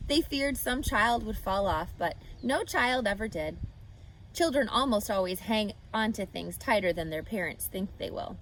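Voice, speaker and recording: A woman reading a children's book aloud with expressive, animated storytelling. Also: mid-range voice, moderate pace, a little hiss or hum, low-quality recording. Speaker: reader.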